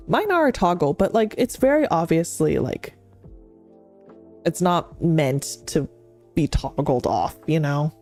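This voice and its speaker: A young adult woman streaming as a VTuber, talking in a chatty, rambling way with many fillers and restarts. Her voice is fairly deep. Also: darkish tone. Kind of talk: casual chat stream. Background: faint background music.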